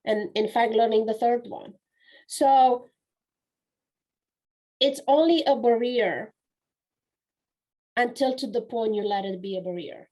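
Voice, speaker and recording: A woman's voice: on the high side, slow, long pauses, mellow, low-quality recording. A woman in her forties with a Romanian accent, a non-native English speaker, talking earnestly and reflectively in a conversational interview, often restarting her sentences. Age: 40s. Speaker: guest speaker and coach.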